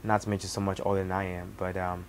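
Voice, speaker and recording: Young Senegalese man, twenties, native Wolof speaker; low, even delivery, halting with restarts; faint background noise. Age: 20s. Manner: halting, many restarts and fillers. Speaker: cook. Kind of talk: casual personal storytelling.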